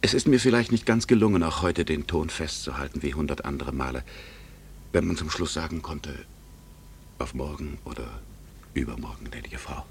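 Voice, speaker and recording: An actor playing a wistful, ironic middle-aged gentleman and secret admirer in a 1960s German radio drama, with courtly, hesitant speech that can drop to a near-whisper. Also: extremely low pitch, expressive, moderate pace, gravelly, slight background noise, exaggerated voice. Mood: hesitant, melancholy.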